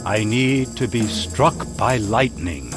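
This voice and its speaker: A man's voice: on the low side, animated, slow. A man voicing a pompous, eccentric asylum inmate who fancies himself a mystic, in an adventure game. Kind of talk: adventure game character acting. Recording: loud music under the voice.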